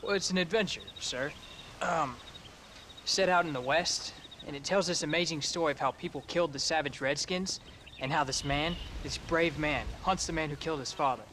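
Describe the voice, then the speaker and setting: A man's voice: on the high side, moderate pace, sharp tone, slight background noise. An actor playing a reserved, bookish American farm boy in his teens in a western video game. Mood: earnest. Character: sullen, bookish teenage frontier farm boy. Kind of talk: cinematic video game acting.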